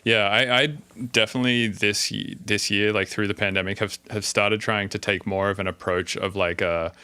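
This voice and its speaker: A man's voice: lowish voice, steady tone, moderate pace, sharp tone, clear, resonant tone, no room sound. A man hosting a podcast in laid-back casual chat, full of fillers and casual swearing. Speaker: podcast host.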